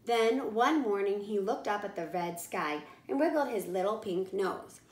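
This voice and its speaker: A woman reading a children's storybook aloud in animated narration. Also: mid-range voice, unhurried. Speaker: storybook reader. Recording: roomy echo.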